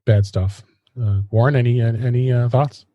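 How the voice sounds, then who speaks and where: A man hosting a casual tech podcast, halting and often restarting his phrases. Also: mid-range voice, fairly even intonation, no room sound, dull audio. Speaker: podcast host.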